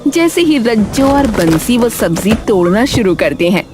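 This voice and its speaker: A woman's voice: a little high, big pitch swings, moderate pace, noisy background. A woman narrator reading a scripted cartoon story.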